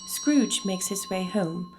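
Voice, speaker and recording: A woman's voice: medium pitch, animated, slow, darkish tone, soft background music. A woman playing the storyteller, narrating a classic tale as scripted radio drama. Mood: measured.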